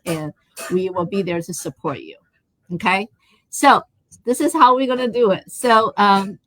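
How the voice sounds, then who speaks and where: A woman's voice: mid-range voice, poor audio. A woman from Taiwan hosting a live online interview. She talks in a chatty way, with many fillers and restarts. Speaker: host.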